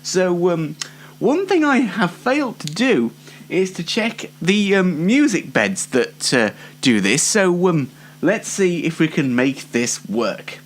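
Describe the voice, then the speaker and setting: Young British man, very animated pitch, unhurried. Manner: dry, tongue-in-cheek, rambling. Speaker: host of a one-man online show. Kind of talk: informal solo show presenting.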